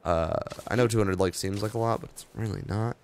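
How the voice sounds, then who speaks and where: Young man, hoarse and tired, gravelly, lowish voice, casual rambling gameplay commentary. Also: fairly quick, darkish tone, a little hiss or hum. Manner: rambling, offhand, reading patch notes aloud with asides. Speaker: gaming video host.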